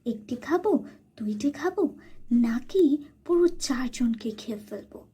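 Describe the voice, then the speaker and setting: A woman acts out a timid fairy from a magic well in a cartoon. Her voice is high, soft and slightly breathy. She sounds anxious and pleads as she speaks. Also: expressive, measured pace, full character voice. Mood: alarmed.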